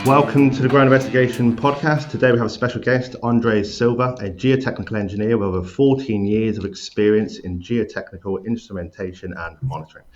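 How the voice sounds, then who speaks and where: A man hosting a podcast, reading a brisk introduction and asking upbeat questions. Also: fairly low pitch, steady tone, dull audio, soft background music. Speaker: podcast host. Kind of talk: scripted intro then interview chat.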